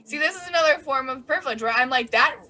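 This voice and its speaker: A woman with a bright, sharp voice co-hosts a casual podcast chat. She talks frankly and jokes, with curse-laced asides. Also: high voice, quick pace. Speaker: podcast co-host, doctor. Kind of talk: casual conversation.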